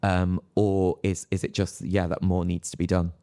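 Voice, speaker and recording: A man's voice: deep voice, little pitch movement, moderate pace, mellow, clear, resonant tone, no echo, clean studio sound. A man speaking as an academic panelist in a live discussion, phrasing his thoughts in a hesitant, reflective way. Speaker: panelist, psychology lecturer.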